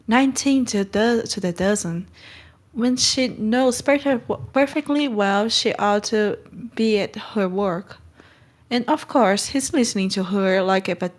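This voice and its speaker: A woman's voice: mid-range voice, close to an everyday voice. A woman playing a stern but kind middle-aged guardian in a storybook read-along, brusque and scolding. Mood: exasperated. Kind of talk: acted audiobook read-along.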